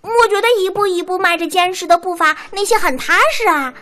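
A woman voicing a bright chatty young dog. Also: extremely high pitch, brisk. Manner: chatty, fond of snacks, dreamy. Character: cheerful chatty young male water dog.

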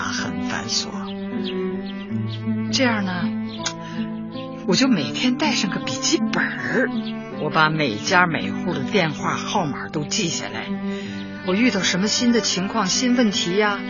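Lively, motherly community leader. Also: lowish voice. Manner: motherly, advising and gently scolding. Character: kindly, plain-spoken older female community party secretary. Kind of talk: Chinese radio drama acting. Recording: muffled radio drama with music underneath.

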